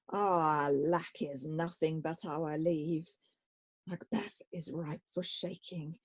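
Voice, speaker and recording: Earnest young prince, played by a woman, unhurried. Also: on the low side, mellow, breathy edge. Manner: earnest, exhorting delivery. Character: young exiled prince, earnest, rallying, resolute. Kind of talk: amateur radio-play Shakespeare acting. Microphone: recorded over video call.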